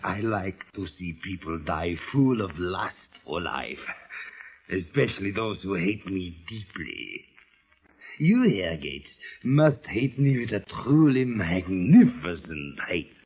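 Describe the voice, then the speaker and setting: An actor playing a sadistic, gloating Nazi colonel with a German accent and a theatrical, taunting manner in a 1940s radio drama. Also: male voice, deep voice, sing-song, slow, a little hiss or hum, full character voice. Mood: taunting menace. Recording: muffled vintage radio recording.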